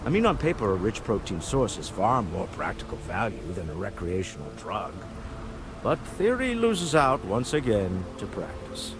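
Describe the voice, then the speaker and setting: A man's voice: fairly low pitch, huge pitch swings, moderate pace, background noise. An actor playing a worldly businessman companion in a video game, musing in a dryly ironic way. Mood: wry musing. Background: game music.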